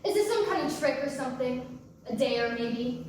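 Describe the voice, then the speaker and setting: A woman's voice: sits high, animated, moderate pace, darkish tone. A woman acting a guarded, irritable loner at a diner in a staged play reading, curt and skeptical. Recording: very echoey room, distant mic, noise.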